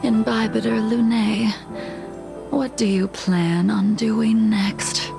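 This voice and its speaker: A woman voicing a solemn, weary swordswoman in a video game, with grave, measured delivery. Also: on the high side, fairly even intonation, gravelly, full character voice. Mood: somber, resolute. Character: solemn, weary swordswoman haunted by past and revenge. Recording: background music under dialogue.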